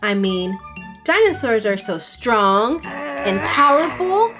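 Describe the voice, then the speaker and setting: A young adult woman hosting a children's channel, reading a picture book aloud in an expressive, sing-song way. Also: fairly high pitch, takes it slowly, music in the background. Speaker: children's story reader. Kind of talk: read-aloud storytelling for children.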